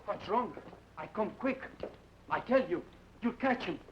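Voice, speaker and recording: A nervous Mexican henchman in an old radio drama. He speaks halting, accented English in a slightly high, deferential whine. The sound is dull with faint hiss. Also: animated. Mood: nervous, pleading. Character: cowed, excuse-making Mexican henchman, a man. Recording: muffled, noisy old radio recording.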